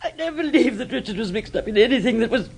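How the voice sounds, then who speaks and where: A woman's voice: on the high side, animated, fast talker, vocal fry, a little mellow, slightly rough, exaggerated voice. An actress playing a shaken, grieving mother in a radio drama, hesitant and faltering. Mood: distraught.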